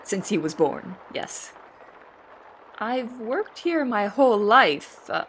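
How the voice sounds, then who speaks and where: A woman playing an earnest young female cook in an audio drama, speaking in a formal, measured, articulate way. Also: on the high side, very animated, a bit bright, slight background noise, heavily acted, poor audio. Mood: polite, insistent. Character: Anne Marie, earnest young female cook writing testimony. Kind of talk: audio drama, formal letter narration and dialogue. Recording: music bed under the voice. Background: instrumental music.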